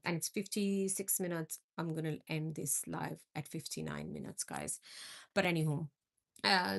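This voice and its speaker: A woman's voice: deep voice, creaky. A middle-aged woman from India hosting a live stream, chatting with viewers and answering their comments. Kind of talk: casual live-stream chat.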